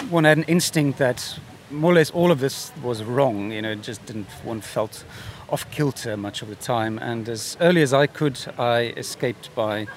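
A man in his fifties from South Africa whose first language is Afrikaans, an author speaking in a measured, reflective way as an interview guest, with hedges and restarts. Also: medium pitch, a little hiss or hum. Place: busy book festival venue.

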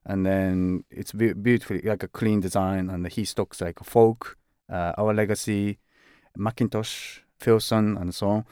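A man with a low, smooth voice speaks as an interviewed fashion director. He talks in a halting way, leaning on "like" and "uh" and restarting words. The sound is dry and close, with no room echo. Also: steady tone, dark tone. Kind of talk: conversational interview.

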